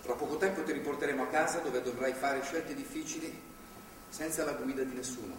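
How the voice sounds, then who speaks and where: An actor playing a stern, moralizing religious zealot who holds a woman captive, in a deliberate, authoritarian stage delivery. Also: medium pitch, steady tone, natural voice. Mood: solemn preaching. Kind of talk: live stage drama acting. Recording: distant, noisy, echoey theatre hall recording.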